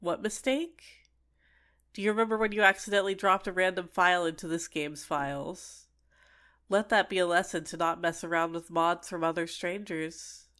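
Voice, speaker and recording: A woman's voice: lowish voice, fairly bright tone, clear, resonant tone, close to an everyday voice. A woman playing an obsessive, sentient dating-sim character in an ASMR roleplay, clingy and coaxing with menacing sweetness. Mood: smug, menacing. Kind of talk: scripted ASMR roleplay monologue.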